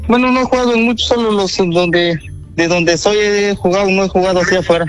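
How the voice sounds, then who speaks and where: A man speaks on a phone call. His voice is a little high, and he answers briefly, politely and with some hesitation. Music is clearly audible behind him. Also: steady tone, fairly quick. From Guatemala. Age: 20s. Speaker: prank-call target. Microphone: telephone call.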